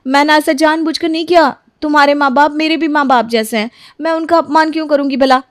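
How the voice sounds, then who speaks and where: A young housewife from a moral story , acted by a woman, always justifying herself and ready to argue. Her voice is rather high, recorded dry and close. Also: steady tone, fairly quick, dry recording, lightly acted. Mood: defensive, explaining. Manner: self-justifying, argumentative. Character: careless, defensive young housewife daughter-in-law.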